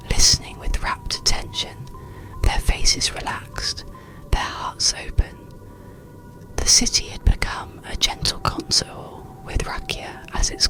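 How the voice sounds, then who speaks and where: Whispered, creaky ASMR bedtime storyteller. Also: a bass voice, rough recording. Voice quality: breathy, unvoiced whisper with faint creak. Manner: soothing, hushed, gentle. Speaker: narrator.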